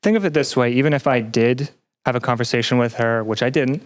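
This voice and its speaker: A man chatting casually as a podcast guest, a little halting, with dry playful teasing. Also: mid-range voice. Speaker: podcast guest, student representative. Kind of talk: casual chat.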